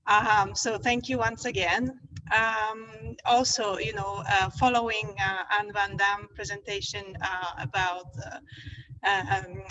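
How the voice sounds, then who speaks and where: A middle-aged woman from Poland giving an enthusiastic online webinar talk, often pausing to rephrase as she goes. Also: medium pitch, background noise. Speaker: presenter, play therapist and education consultant.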